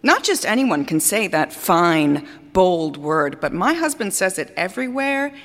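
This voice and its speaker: In a reverberant hall, a vain, capricious female opera singer delivers a theatrical monologue. Her voice is on the low side and swoops widely in pitch. She speaks grandly and deliberately, pausing for effect, scornful and adoring by turns. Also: sing-song, fairly bright tone, full character voice. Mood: adoring, dramatic. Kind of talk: theatrical dramatic monologue before an audience. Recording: reverberant hall stage recording.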